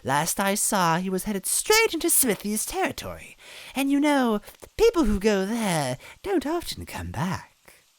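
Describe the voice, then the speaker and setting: A woman's voice: mid-range voice, huge pitch swings, a little slow, no room sound, pitched above the performer's own voice, poor audio. A woman voicing a hideous, gleefully cruel bean witch in a storybook reading, taunting with a theatrical sneer. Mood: gleeful mockery.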